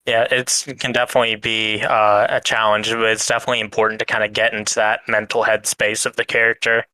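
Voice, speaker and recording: Flat, bright-voiced man on a podcast. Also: lowish voice, fast talker, no echo, rough recording. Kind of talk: casual podcast discussion.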